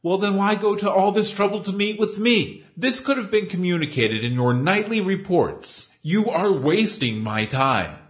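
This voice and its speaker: A man's voice: sits high, lively intonation, normal speed, hardly any pauses, pitched above the performer's own voice. A man voicing a menacing boss who speaks in icy, clipped commands. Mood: cold. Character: cold menacing male boss with a cane.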